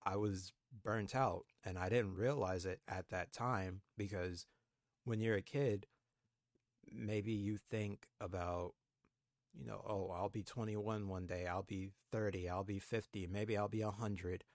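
A man's voice: fairly low pitch, fairly even intonation, a little slow, no room sound, clean studio sound. A man in his twenties co-hosting a podcast, reflecting aloud in a casual, thoughtful way with frequent fillers. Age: 20s. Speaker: podcast co-host. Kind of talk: casual conversation.

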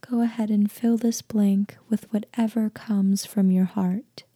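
Female meditation guide, soft half-whisper, very warm and relaxed tone, gentle slow delivery, close dry sound. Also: mid-range voice, breathy edge, dry recording. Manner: gentle, hushed, deliberate with long pauses.

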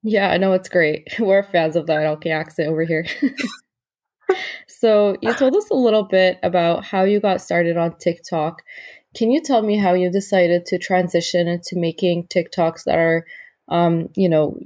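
A woman with a fairly low voice hosts a relaxed interview. She is Jordanian American, talks in an eager, chatty way and fills her sentences with casual fillers as she warms up to her guest. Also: normal speed. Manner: enthusiastic and chatty, many fillers. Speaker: podcast host interviewing a guest.

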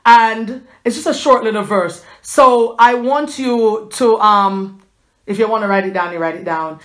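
This is a woman in her forties speaking to her viewers about faith, fervent and preachy yet chatty. Her voice sits high, and she takes her time with each thought, often circling back and restarting her sentences. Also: a little slow, fairly bright tone. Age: 40s. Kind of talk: inspirational faith talk to viewers.

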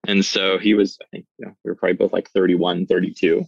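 Gravelly, husky-voiced man in his thirties. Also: low-pitched, flat delivery, fast, no echo, highs cut off. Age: 30s. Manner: rambling, many "like" fillers. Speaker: startup founder and CEO. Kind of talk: casual interview chat. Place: small confined phone booth.

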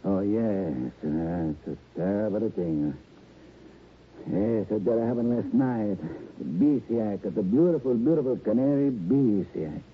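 An actor playing an emotional Italian immigrant violin repairman in an old radio drama, with a strong Italian accent and halting, exclamatory broken English. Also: on the low side, close to an everyday voice. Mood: distressed. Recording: muffled, noisy old radio recording.

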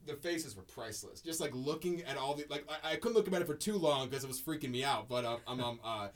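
A man's voice: medium pitch, fast. Rambling male podcast host. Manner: rambling, heavy on fillers and restarts, emphatic storytelling. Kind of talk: casual podcast chat.

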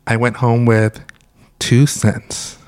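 A young man co-hosting a casual podcast, talking with a raspy just-woke-up voice and joking and laughing as he tells stories. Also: medium pitch, little pitch movement, slow pace, darkish tone. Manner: joking, laughs often, rambling storyteller. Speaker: podcast co-host. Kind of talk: casual chat.

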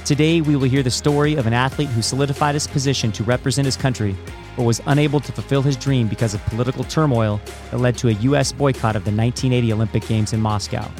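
A man's voice: mid-range voice, fairly even intonation, quick pace, darkish tone, soft background music. A middle-aged man hosting a podcast, moving between a scripted introduction and casual interview talk. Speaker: podcast host.

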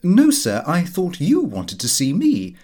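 An earnest middle-aged clerk, acted by a male reader, eager and polite with a lively lift in the voice. He is a fussy, self-satisfied storybook figure with a slightly husky edge. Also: sits high, unhurried, pitched above the performer's own voice, heavily acted. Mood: nervous, deferential.

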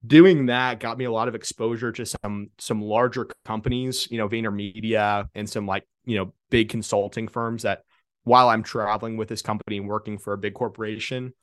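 A man in his twenties, a podcast guest, talking in a relaxed conversational way. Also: fairly low pitch, brisk, no room sound, clean studio sound. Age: 20s. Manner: relaxed, conversational, many fillers and restarts. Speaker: guest, marketing consultant. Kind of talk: casual podcast interview.

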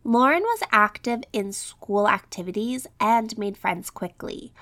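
A woman's voice: mid-range voice, animated, measured pace, bright, forceful tone. A woman hosting a true crime podcast, reading a scripted narration in a slightly scratchy voice as she recovers from an illness. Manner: reads script with quotes and statistics.

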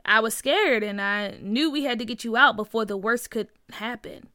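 A woman's voice: fairly high pitch, moderate pace, bright, forceful tone, no echo, studio quality. A woman voicing a cocky boy ringleader in a children's story, slangy and swaggering. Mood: gruffly sincere. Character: cocky teasing boy ringleader with a hidden heart. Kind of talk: acted children's story dialogue.

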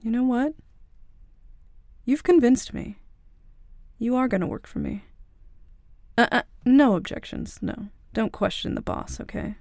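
Woman playing a cocky, creaky-voiced young mafia princess. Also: a little high, expressive, a little slow, pauses often, darkish tone, no room sound, studio quality. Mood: amused, commanding.

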